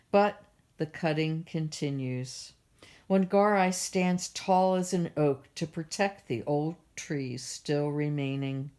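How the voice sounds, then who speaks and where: Slow, low-voiced woman reading a storybook. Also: a little mellow. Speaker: library storytime reader. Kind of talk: children's picture book read-aloud.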